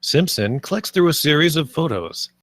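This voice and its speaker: A man's voice: fairly high pitch, big pitch swings, moderate pace, fairly bright tone, dry recording. A man reading stage directions as the narrator of a script table read.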